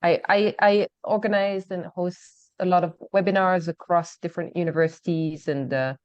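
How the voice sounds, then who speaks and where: Low, smooth-voiced woman on a call. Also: steady tone, moderate pace, a little mellow, dry recording. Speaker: adjunct professor. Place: coffee shop. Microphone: video call. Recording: dull dry audio.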